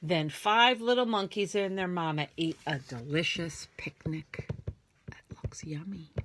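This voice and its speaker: A woman reading a picture book aloud to young children in a theatrical, singsong manner, dropping into hushed whispers. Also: on the low side, a little slow, a little hiss or hum.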